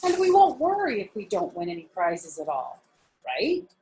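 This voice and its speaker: A woman's voice: mid-range voice, huge pitch swings, moderate pace, warm tone, slight background noise, low-quality recording. A woman voicing a firm but reassuring mother in a children's storybook, with a parental, instructive manner. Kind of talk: children's storybook character reading.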